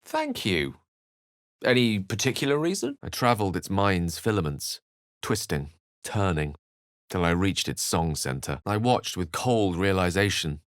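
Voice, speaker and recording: A man playing an eloquent, courteous wizard in a video game, articulate and literary in his measured delivery. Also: low-pitched, sing-song, no room sound, studio quality. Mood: wry, courteous. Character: eloquent, courteous, bookish male human wizard, a wry adventurer. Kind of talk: video game character lines and narration.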